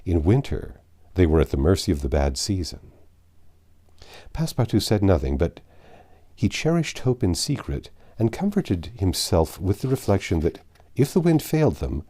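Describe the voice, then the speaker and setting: Deep-voiced, expressive male storybook narrator. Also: normal speed. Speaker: audiobook narrator.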